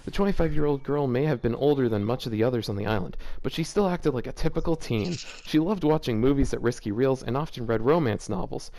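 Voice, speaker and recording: A man with an ordinary mid-pitched voice reads a fan story aloud in a loose, casual way. He keeps stopping to laugh and mock the writing. The tone stays chatty and amused. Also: expressive, quick pace, few pauses, mellow, slight background noise, poor audio. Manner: amused, laughing, mocking asides. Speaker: host reading fanfiction aloud. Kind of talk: casual reading with joking commentary.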